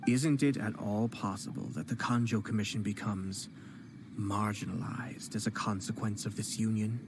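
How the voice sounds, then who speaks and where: An actor playing a composed male noble commissioner in a game, speaking calmly and politely with a cutting edge. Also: lowish voice, moderate pace, background noise, close to an everyday voice. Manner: calm, polite, coolly cutting. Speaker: game character. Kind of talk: game cutscene voice acting.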